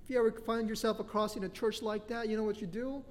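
A middle-aged man with a Filipino accent preaching a sermon as pastor, animated and laughing often between points. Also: high-pitched, quick pace. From Philippines. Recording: long hall reverb.

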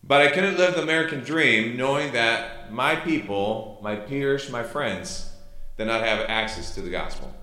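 Missionary pastor, male, Chilean Spanish accent, native Spanish speaker. Bright, forward voice, informal testimony to a congregation, reverberant church room. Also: medium pitch, unhurried. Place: church hall during a service. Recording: echoey room sound.

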